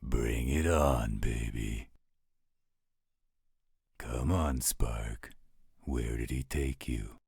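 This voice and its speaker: Male werewolf guardian, acted by a man, rumbling bass, heavy gravel, gruff, protective, teasing, dry close recording, audio roleplay. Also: extremely slow, pauses often, a little mellow, no echo, full character voice. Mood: teasing menace. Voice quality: gravelly, husky. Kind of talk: roleplay audio drama acting.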